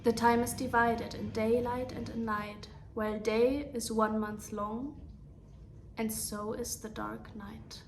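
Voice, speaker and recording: A woman with a German accent reading her novel aloud in a hushed, half-whispered bedtime-story voice. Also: a little high, level tone, takes it slowly, slight background noise.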